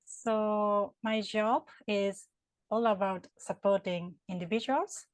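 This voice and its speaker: A woman's voice: medium pitch, fairly even intonation, takes it slowly, no room sound, low-quality recording. A woman with a Japanese accent, working in HR consulting, answers interview questions thoughtfully, with many fillers and restarts. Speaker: HR consultant and career counselor.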